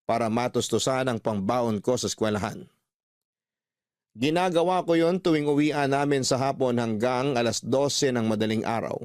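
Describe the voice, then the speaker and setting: A Filipino man narrating in character as an earnest, hardworking man in his thirties. His voice sits at a middle pitch in a dry, close recording. The delivery is measured, scripted radio storytelling. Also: dry recording, lightly acted. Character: Ralph, thirty-two-year-old hardworking coffee shop owner.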